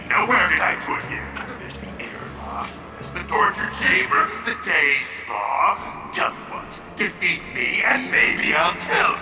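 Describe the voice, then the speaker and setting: A man's voice: high-pitched, gravelly, full character voice. An actor playing a smug, menacing villain lord in a video game, pompous and mock-polite as he taunts. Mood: taunting. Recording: roomy, distant voice under loud music.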